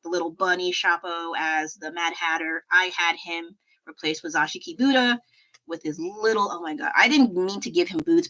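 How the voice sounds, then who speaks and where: A woman with a low voice, chatting casually at her home desk while drawing on a livestream, rambling and poking fun at herself with frequent laughs. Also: bright, forceful tone, low-quality recording. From United States. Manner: rambling, self-deprecating, laughs often. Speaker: livestreaming artist.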